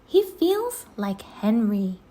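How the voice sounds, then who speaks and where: Little storybook girl, acted by a woman, high-pitched, eager, trusting, bold, emphatic, very lively intonation. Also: takes it slowly. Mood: excited, urging. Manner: excitable, emphatic. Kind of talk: children's storybook read-aloud.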